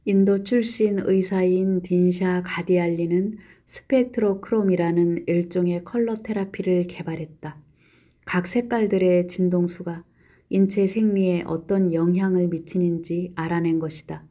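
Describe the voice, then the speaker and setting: A woman reading a nonfiction book aloud in a calm, gentle, even way. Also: lowish voice, moderate pace.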